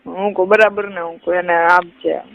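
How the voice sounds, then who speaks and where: A woman's voice: on the low side, level tone, a little fast, creaky. A young woman chatting casually and privately on a recorded phone call.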